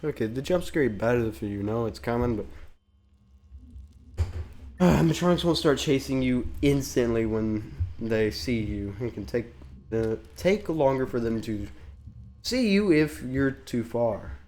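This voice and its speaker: A man's voice: mid-range voice, animated, unhurried, a little mellow, a little hiss or hum. A young man with a cold, sounding congested, rambling nervously through a horror game on his channel. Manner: nervous rambling, panicky outbursts. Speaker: gaming youtuber. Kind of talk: let's play commentary.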